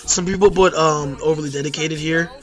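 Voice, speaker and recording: A hoarse young man ranking albums in a rambling, casual talk, full of fillers and self-corrections. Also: fairly high pitch, fairly even intonation, a little fast, faint music behind. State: hoarse from losing voice at a concert. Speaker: YouTube music reviewer.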